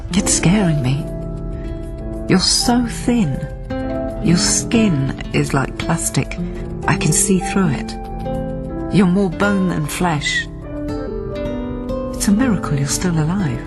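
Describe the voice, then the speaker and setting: An actress playing a guarded, earnest woman intermediary in a radio drama, speaking in a measured way. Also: fairly low pitch, expressive. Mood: distressed pleading. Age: 30s. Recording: radio drama with loud music behind.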